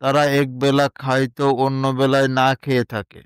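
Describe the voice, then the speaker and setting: A man's voice: mid-range voice, completely flat delivery, brisk, smooth, no room sound, clean studio sound. A man narrating a scripted moral story.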